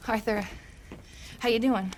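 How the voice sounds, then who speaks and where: Woman as a worried, high-voiced frontier woman. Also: steady tone, a little slow, noisy background, close to an everyday voice. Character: worried, plain-spoken frontier woman in outlaw gang. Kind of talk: video game cutscene acting.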